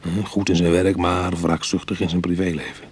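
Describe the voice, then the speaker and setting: Husky, wry retired cat burglar. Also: male voice, low voice, flat delivery, normal speed, darkish tone. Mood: brooding, wry. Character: retired cat burglar, proud, wry, easily goaded man. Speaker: actor and narrator in a radio play. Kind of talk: Dutch radio drama acting.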